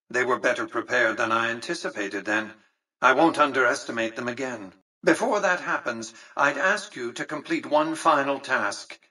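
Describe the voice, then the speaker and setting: An imperious, elderly male industrialist from a video game. His husky voice comes through a radio-like filter, slightly removed from the mic. He speaks with measured, calculating formality and condescending authority, in a forceful, crisp-edged tone. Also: medium pitch, distant mic, poor audio. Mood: cool, analytical, then brisk, commanding. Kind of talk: scripted video game character dialogue.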